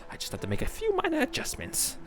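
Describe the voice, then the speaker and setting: Man reading as an animated, eccentric toy inventor. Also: very high voice, quick pace, pitched above the performer's own voice, full character voice. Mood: determined. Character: eccentric, boastful toy inventor, showman-like and formal. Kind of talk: reading game dialogue aloud.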